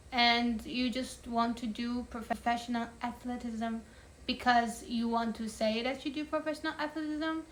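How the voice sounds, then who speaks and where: A young woman talks straight to her channel in a relaxed, chatty way, full of little fillers. Her voice sits a touch high for a woman and carries an upbeat tone. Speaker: language-learning vlogger. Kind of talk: casual advice monologue.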